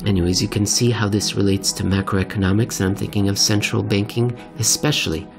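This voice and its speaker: A man hosting a podcast, reading dramatically and commenting conversationally, with deliberate, theatrical phrasing and pauses for effect. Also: lowish voice, level tone, vocal fry, over music. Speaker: podcast host and reader.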